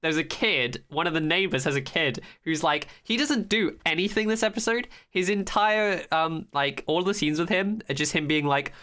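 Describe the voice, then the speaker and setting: A podcast co-host chatting casually and enthusiastically about television, with a sweary, excitable way of talking. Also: mid-range voice, normal speed, few pauses. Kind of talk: casual TV episode recap chat.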